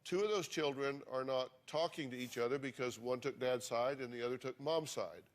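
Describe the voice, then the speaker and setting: A man's voice: medium pitch, a little fast. A man hosting a talk show, steering a heated family dispute with dry jokes.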